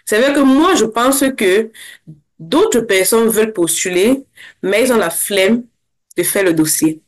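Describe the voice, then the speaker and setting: A young woman from Cameroon, a guest in an interview, speaking casually and fast, with many restarts. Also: mid-range voice, animated. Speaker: interview guest, former scholarship holder.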